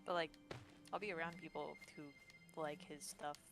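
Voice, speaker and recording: Low, gravelly woman over game music. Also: steady tone, normal speed. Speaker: co-player on stream. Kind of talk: casual chat.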